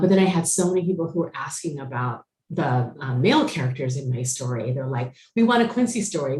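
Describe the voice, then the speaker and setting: Low-voiced woman novelist, roomy echo. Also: a little mellow. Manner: chatty, many "you know" fillers and restarts. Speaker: novelist guest. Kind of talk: casual conversation.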